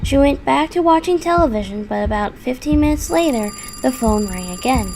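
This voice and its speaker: A woman's voice: high-pitched, animated, a little fast, a little mellow. A woman reading a scripted horror story as its narrator. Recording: clearly audible background noise.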